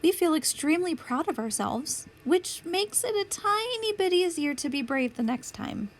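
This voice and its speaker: A woman reading a picture book aloud as a storytime host, with deliberate, clear phrasing for young children. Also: very high-pitched, big pitch swings. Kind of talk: children's read-aloud narration.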